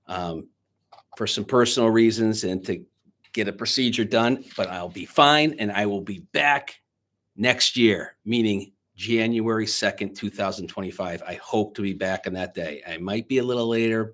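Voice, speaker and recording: A man speaking to schoolchildren as their teacher, reading a storybook aloud in an expressive, dramatic way. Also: lowish voice. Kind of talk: children's storybook read-aloud and chat to pupils. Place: indoors, recorded remotely on video.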